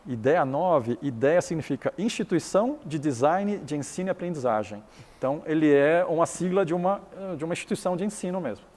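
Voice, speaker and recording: Taiwan-born man, slightly high, roomy reverb. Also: lively intonation, brisk, a little mellow. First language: Chinese. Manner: restarts and repeats words, explains step by step. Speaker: interviewee, business founder. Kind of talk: business interview.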